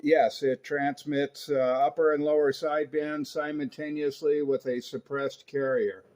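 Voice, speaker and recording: A man's voice: a little high, level tone, measured pace, a little mellow. An American man chatting casually and enthusiastically over CB radio, explaining things like a keen hobbyist.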